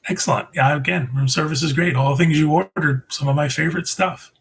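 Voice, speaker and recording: A man hosting a casual podcast chat, asking follow-up questions with animated reactions. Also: fairly high pitch, fast, vocal fry, slight background noise. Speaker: podcast host.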